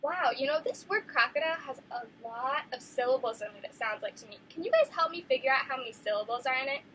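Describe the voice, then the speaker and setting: A young woman teacher reads a picture book to her class. She sounds bright and high, with lively swoops in her voice. She keeps an encouraging, animated tone as she questions the children. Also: quick pace, a little hiss or hum, low-quality recording. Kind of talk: classroom read-aloud lesson.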